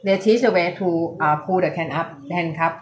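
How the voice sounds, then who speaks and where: A woman with a Thai accent hosting a casual livestream chat. She speaks in halting English and keeps repeating "yeah, yeah, yeah.". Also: fairly low pitch, noisy background. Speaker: livestream host.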